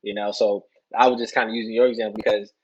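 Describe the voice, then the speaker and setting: A man's voice: fairly low pitch, little pitch movement, fast talker, husky, dull audio. A man speaking as a podcast guest in casual conversation, rambling earnestly and often checking in with "you know". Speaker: interview guest, soccer player.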